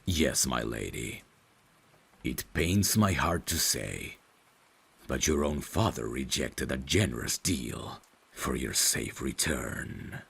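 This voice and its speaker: Male actor as courtly vampire butler, extremely low voice, slow measured delivery, formal and caring, ASMR roleplay. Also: expressive, creaky, slightly rough, exaggerated voice. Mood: grave, regretful. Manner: formal, deferential, measured, gently solicitous. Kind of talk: ASMR audio drama roleplay.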